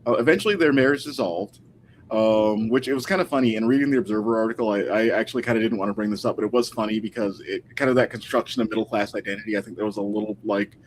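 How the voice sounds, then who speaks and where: A man's voice: fairly low pitch, fast talker. Informal male historian, some background noise. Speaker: historian, interview guest. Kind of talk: casual interview talk.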